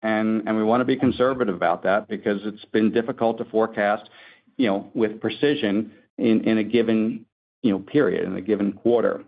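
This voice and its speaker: Low-voiced male executive, muffled call audio. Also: steady tone, a little fast, vocal fry. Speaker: company executive. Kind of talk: answering analysts on an earnings call. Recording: dull, lo-fi conference call audio.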